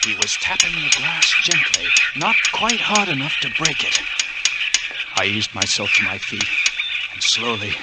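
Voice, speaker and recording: An actor playing a tense, resolute lighthouse keeper, narrating a 1940s radio suspense drama in a dramatic, deliberate way. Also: male voice, mid-range voice, animated, heavy background noise, natural voice. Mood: grim, tense. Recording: old 1940s radio broadcast with organ music.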